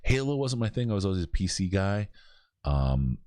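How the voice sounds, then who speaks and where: A man's voice: low voice, big pitch swings, moderate pace, warm tone, no room sound, studio quality. A middle-aged man from Michigan with a Michigan accent, hosting a podcast in casual, rambling chat with lots of restarts. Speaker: podcast host. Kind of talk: casual charity stream chat.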